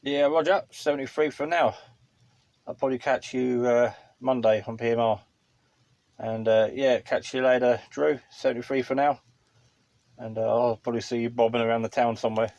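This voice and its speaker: A man's voice: mid-range voice, steady tone, normal speed, gravelly, a little mellow, dry recording, dull audio. A British man chatting casually as a vlogger and radio hobbyist. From United Kingdom. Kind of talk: casual chat. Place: inside a parked motorhome.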